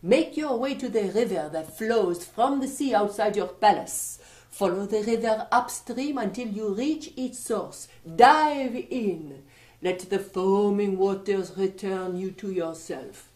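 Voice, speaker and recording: A woman voicing a mysterious magical young man with a put-on French accent, smooth and knowing. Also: medium pitch, a little slow, darkish tone, roomy sound, natural voice. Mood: instructive.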